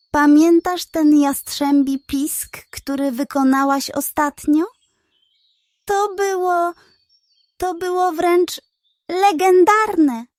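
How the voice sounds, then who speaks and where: A woman plays a sly male pug schemer from a children's storybook. The voice is very high and theatrical, greedy and full of wheedling flattery, with a dry, close sound. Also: no echo.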